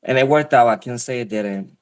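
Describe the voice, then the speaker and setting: A man's voice: mid-range voice, brisk, a little mellow, clear, resonant tone. A man with a Spanish accent talking candidly in a casual chat, rambling and often breaking off mid-sentence. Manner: rambling, self-interrupting, candid.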